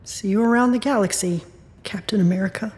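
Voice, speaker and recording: A woman's voice: mid-range voice, a little fast, a little mellow, husky, lightly acted. An actress playing a wry, wounded, stubborn middle-aged woman in an audio drama, with a sardonic, wisecracking way of talking. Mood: wry, bitter. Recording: music under the voice.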